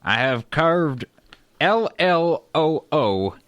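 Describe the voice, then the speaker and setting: A man's voice: fairly high pitch, sing-song, very slow, a bit bright, dry recording, full character voice. An actor playing a pompous, fussy Old West tool collector in a comedy radio play, boastful and over-precise in his wording. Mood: smug, self-important.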